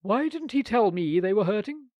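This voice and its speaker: A man's voice: sits high, animated, normal speed, a little mellow, dry recording, pitched above the performer's own voice. A man voicing a strict, self-important aunt in a storybook reading, speaking loftily and primly. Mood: lofty. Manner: lofty, prim. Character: strict, self-important middle-aged woman guardian.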